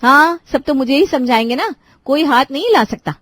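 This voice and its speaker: A woman's voice: high voice, moderate pace, fairly bright tone, dry recording, studio quality, voice pushed up. A woman voicing a harried, dutiful young daughter-in-law and mother in a family cartoon. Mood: exasperated. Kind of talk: Hindi moral-story cartoon acting.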